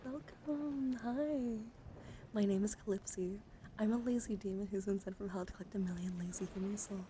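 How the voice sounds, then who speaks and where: A woman's voice: medium pitch, steady tone, normal speed, dark tone, slight background noise. A woman in her thirties chatting casually and teasingly as host of an ASMR livestream, speaking close to the mic.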